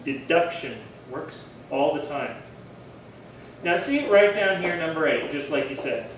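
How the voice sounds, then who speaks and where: Recorded far from the mic in a very echoey room, a man plays a talkative detective partner for a radio play. His voice sits fairly high, and he muses earnestly, rather pleased with himself. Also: expressive, a little slow, a little hiss or hum. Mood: self-satisfied. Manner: chatty, earnest, musing. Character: affable, chatty police detective partner, male, pleased with himself. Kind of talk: 1950s radio crime drama acting. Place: live stage radio-show performance in roomy space.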